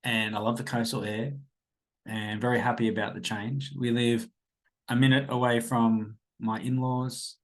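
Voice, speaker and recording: A man's voice: mid-range voice, little pitch movement, normal speed, low-quality recording. An Australian man in his thirties hosting a podcast, talking about his own life in a rambling, hesitant way, with lots of ums and self-corrections. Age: 30s. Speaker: podcast host. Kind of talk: rambling personal monologue.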